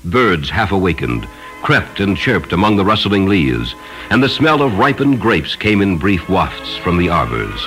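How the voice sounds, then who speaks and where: A man narrating a literary story in a solemn, measured, dramatic way. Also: low-pitched, big pitch swings, fairly bright tone, low-quality recording. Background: music behind the voice.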